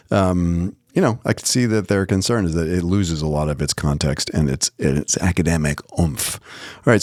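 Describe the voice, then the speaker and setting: Deep voiced guy doing casual podcast news chat, jokey asides, really dry close sound. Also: darkish tone, no echo. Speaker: podcast co-host.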